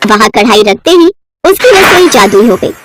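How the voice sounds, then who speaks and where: A woman's voice: fairly high pitch, big pitch swings, normal speed, noisy background. A woman narrating a scripted children's story expressively, as a storyteller.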